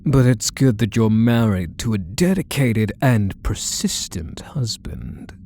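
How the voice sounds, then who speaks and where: A man playing a possessive, controlling kidnapper husband in an ASMR roleplay, deliberate and menacing, with condescending endearments. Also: on the low side, animated, darkish tone, a little hiss or hum. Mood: possessive, smug. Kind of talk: scripted ASMR roleplay, addressing the listener.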